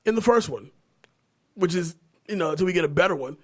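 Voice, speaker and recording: A man hosting a casual movie podcast, bantering in an animated, profane way. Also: high voice, fast. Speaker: podcast host.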